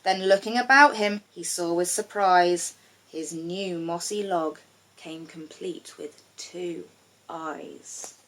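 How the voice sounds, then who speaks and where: A woman storyteller giving an expressive reading of a rhyming children's storybook. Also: on the low side, slow pace, a little hiss or hum, low-quality recording.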